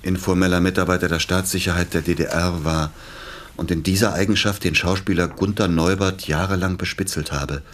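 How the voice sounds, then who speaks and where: An actor playing an uneasy ex-convict accomplice with a conscience, earnest and hesitant, in a radio crime drama. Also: male voice, sits low, fairly even intonation, a little fast, fairly bright tone, a little hiss or hum. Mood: stiff, reading.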